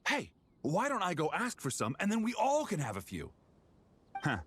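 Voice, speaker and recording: A man's voice: high voice, wildly swooping pitch, normal speed, fairly bright tone, a little hiss or hum, heavily acted. A man voicing a friendly, easygoing adventurer in a video game, chatty and folksy. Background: music.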